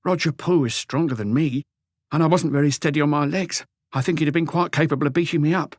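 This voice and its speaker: A man voicing an anguished, lonely, meek husband in an audiobook, pleading and rambling haltingly through a confession. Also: fairly high pitch, dry recording, clean studio sound, voice pushed up, close to an everyday voice. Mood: wounded, hopeless. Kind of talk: audiobook character dialogue.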